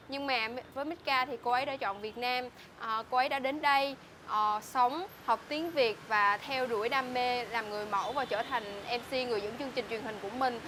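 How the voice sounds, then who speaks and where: Quick, bright-voiced woman. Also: on the high side, a little hiss or hum. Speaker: colleague praising the guest. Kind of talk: interview testimonial.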